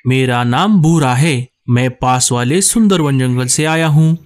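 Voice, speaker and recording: A man's voice: medium pitch, a little slow, close to an everyday voice. A man voicing a friendly, naive, obliging male crow in a Hindi cartoon story.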